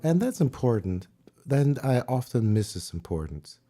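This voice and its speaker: A man whose first language is German, teaching piano on a livestream, relaxed and pausing to search for words. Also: medium pitch. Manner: relaxed, searching for words, sings and counts along. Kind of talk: livestream music lesson. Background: piano playing.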